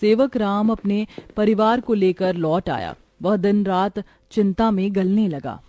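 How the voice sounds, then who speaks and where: A woman's voice: medium pitch, lively intonation, a little slow, warm tone, no echo. A woman narrator reading a scripted moral story for a cartoon.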